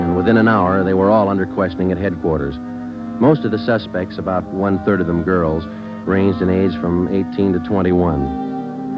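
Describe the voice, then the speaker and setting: A man's voice: deep voice, lightly acted. An actor playing a terse, businesslike police sergeant narrating his case in a mid-century radio crime drama, clipped and matter-of-fact. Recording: dull old radio broadcast with music.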